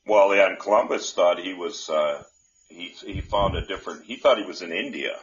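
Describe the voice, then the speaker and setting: A man who hosts a call-in show as a candidate, answering questions in a halting, rambling way full of restarts. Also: sits low. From North Dakota. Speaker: senate candidate, show host. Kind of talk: rambling live call-in chat. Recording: dull lo-fi live stream audio.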